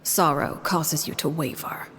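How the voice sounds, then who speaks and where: A woman's voice: sits low, steady tone, slight background noise. Commanding, cold woman duelist. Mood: cold, composed. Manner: formal, measured, authoritative. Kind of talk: video game voice acting. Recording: loud game music behind.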